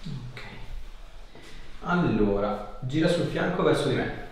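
A man's voice: mid-range voice, expressive, takes it slowly. A man working as a chiropractor, giving short, reassuring instructions to a patient during hands-on treatment. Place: small clinic treatment room. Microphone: camera a few steps away. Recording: echoey room, distant mic, background noise.

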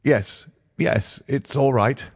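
A man's voice: mid-range voice, slow pace, no room sound, studio quality, pitched above the performer's own voice. A man voicing a jittery, self-important fat man in a reedy, quavering, fussy voice for an audiobook. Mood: relieved, wary. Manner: nervous, fussy. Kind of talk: audiobook character acting.

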